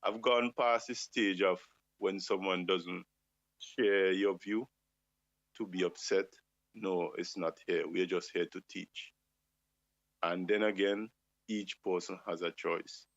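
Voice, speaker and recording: Deep-voiced impassioned man. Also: steady tone, slow, no room sound. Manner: impassioned, asks rhetorical questions. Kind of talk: talk show discussion. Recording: dull lo-fi dry sound.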